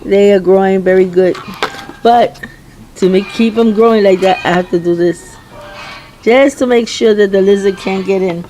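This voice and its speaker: Hoarse woman chatting through her garden. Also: mid-range voice, steady tone, warm tone, soft background music, low-quality recording. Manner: rambling, chatty, halting with restarts. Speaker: home gardener. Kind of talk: casual garden tour vlog. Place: outdoors in a garden.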